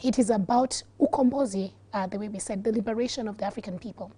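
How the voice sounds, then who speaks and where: A woman's voice: mid-range voice, fast, dark tone, husky. A Kenyan woman with a Kenyan accent, a guest on a studio talk show, explaining earnestly and laughing easily. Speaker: guest, activist.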